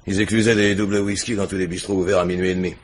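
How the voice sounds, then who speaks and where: An actor playing a matter-of-fact police inspector, a deferential deputy with a plain colloquial delivery, in a French radio crime drama. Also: male voice, low voice, flat delivery, racing, slight background noise, natural voice. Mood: factual.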